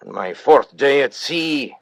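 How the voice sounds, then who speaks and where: An actor playing a suave, vain, cold-blooded master criminal, speaking with pompous, precise courtesy in old-time radio suspense style. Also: male voice, medium pitch, expressive, a little slow, creaky, dry recording. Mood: coolly recounting.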